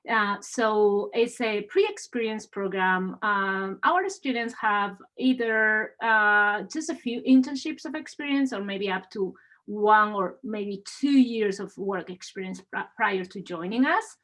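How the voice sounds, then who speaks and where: A woman's voice: medium pitch, slow, fairly bright tone, low-quality recording. A woman from Spain with a Spanish accent, an assistant dean presenting an admissions webinar with many "uh" fillers. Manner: frequent "uh" fillers, upbeat and welcoming.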